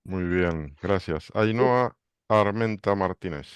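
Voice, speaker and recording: A man hosting a book club video call, briskly greeting each member. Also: deep voice, clean tone, no room sound. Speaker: book club director and host. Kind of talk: calling roll on a video call.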